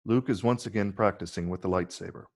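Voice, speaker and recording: Deep gravelly male narrator. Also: fast, background noise. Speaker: narrator reading stage directions. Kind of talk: scripted narration.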